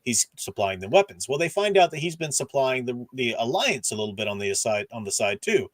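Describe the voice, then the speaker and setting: A man's voice: mid-range voice, big pitch swings, brisk, no room sound. An enthusiastic, chatty man co-hosting a casual discussion and recapping as he goes. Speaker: podcast co-host.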